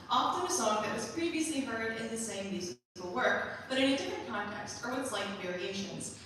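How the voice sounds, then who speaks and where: A woman composer giving a prepared, measured and articulate explanation of her own musical work. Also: fairly high pitch, fairly bright tone. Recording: echoey hall, distant mic, faint noise.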